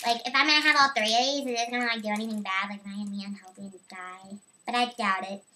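A teenage young woman with a squeaky helium-altered voice, chatting casually and giddily in a homemade vlog. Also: steady tone, racing, sharp tone. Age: teens. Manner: giggly, giddy, playful. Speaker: vlogger. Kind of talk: casual excited vlog chat. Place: small bedroom, indoors. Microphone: camera a short distance away. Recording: faint noise, home camera audio.

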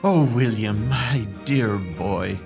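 A man playing an affable, genteel Victorian country gentleman in an expressive, theatrical audio drama style. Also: on the low side, slow, vocal fry, soft background music. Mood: fond.

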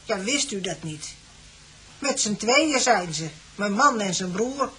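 A woman's voice: mid-range voice, animated, slow, a bit bright. A woman playing an uneasy, superstitious farm landlady in a radio drama, giving hesitant, ominous warnings. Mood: grave warning.

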